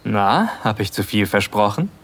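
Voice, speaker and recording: Husky, teasing lover on a hike. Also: male voice, lowish voice, big pitch swings, normal speed, a bit bright, heavily acted. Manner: teasing, flirty. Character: cheerful, teasing male lover leading a mountain hike. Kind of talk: natural audio drama dialogue.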